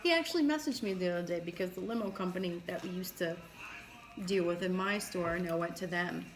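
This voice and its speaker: A woman's voice: lowish voice, brisk, soft background music. A woman chatting casually over food, retelling conversations in quoted back-and-forth and swearing now and then. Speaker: mukbang co-host. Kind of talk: casual chat while eating. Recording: noisy room sound.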